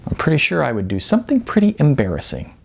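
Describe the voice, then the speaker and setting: A man's voice: fairly high pitch, wildly swooping pitch, fast talker, creaky, slightly rough, voice pushed up, heavily acted. A man voicing a playful, decisive young woman, a supportive partner, in audiobook dialogue. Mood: playful, teasing.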